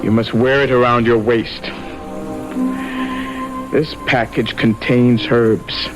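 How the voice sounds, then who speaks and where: Actor playing a slow-spoken, mysterious charm dealer. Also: male voice, mid-range voice, fairly even intonation, lightly acted. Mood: calm, ominous. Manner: deliberate, measured, instructing. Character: mysterious dealer in charms and herbs, calmly bargaining. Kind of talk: radio drama acting. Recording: old radio broadcast, loud music behind.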